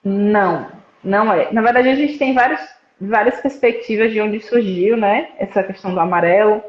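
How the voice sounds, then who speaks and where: Young woman from petrolina on a video call, explains things clearly, says né a lot, echoey dull sound. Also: mid-range voice, moderate pace, a little hiss or hum. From Petrolina, Pernambuco, Brazil. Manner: articulate, explanatory, with frequent "né" tags. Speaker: psychology student and suicide prevention project founder. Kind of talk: casual live-stream interview. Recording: roomy, dull lo-fi live stream audio.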